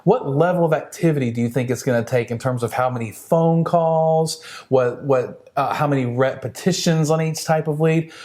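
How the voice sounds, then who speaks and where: A man's voice: fairly high pitch, normal speed, few pauses, a little mellow. A man coaching a sales team in a conversational training style, speaking directly to the listener with encouraging emphasis.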